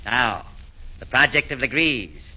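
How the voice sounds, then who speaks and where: A man's voice: mid-range voice, very animated, unhurried, pushed and bright, heavily acted. An actor playing the sly, pompous chairman of a council of fiends in a 1940s radio comedy, speaking in rhymes. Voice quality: pompous, sing-song rhyming. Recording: muffled 1940s radio broadcast.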